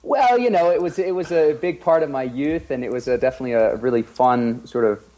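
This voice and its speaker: A man from France with a French accent, a guest answering interview questions in a relaxed, hesitant way. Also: mid-range voice, a little fast, low-quality recording. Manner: relaxed, hesitant, laughs at himself. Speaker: guest, ultra runner.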